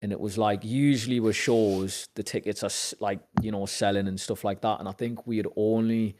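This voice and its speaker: Low-voiced Newcastle man telling stories. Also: normal speed, a little hiss or hum. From Zambia. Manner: animated storyteller acting out quoted dialogue, lifts in pitch when excited. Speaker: podcast guest, actor and musician. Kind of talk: casual storytelling chat.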